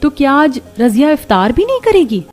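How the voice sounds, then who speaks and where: Woman voicing a high, chatty cartoon housewife. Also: big pitch swings, moderate pace, darkish tone. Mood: concerned. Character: chatty, helpful young housewives, daughters-in-law in a cartoon.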